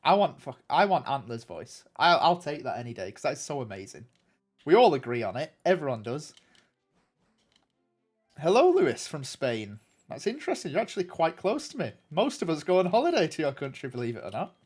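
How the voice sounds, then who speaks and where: A young man with a noticeably nasal tone talks loosely on a livestream, answering viewers. His voice sits a little high for a man, and he restarts sentences and fills gaps with ums. Soft music plays faintly behind him. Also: wildly swooping pitch, fairly quick. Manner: rambling and chatty, many ums and restarts. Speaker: streamer.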